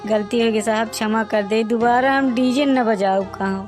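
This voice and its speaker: A man playing a meek, apologetic villager caught by police in a comic cartoon, with a Bagheli accent and a pitched-up voice. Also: little pitch movement, normal speed, soft background music. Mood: pleading. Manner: deferential, apologetic.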